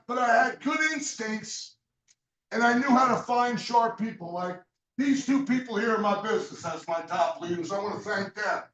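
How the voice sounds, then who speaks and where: A man with a strikingly high voice delivers a pumped-up sales pitch, punching words and sometimes shouting. He sounds far from the mic in a reverberant meeting room. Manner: loud, emphatic, shouts for stress. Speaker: business leader speaking at a recruiting event. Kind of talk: motivational sales speech.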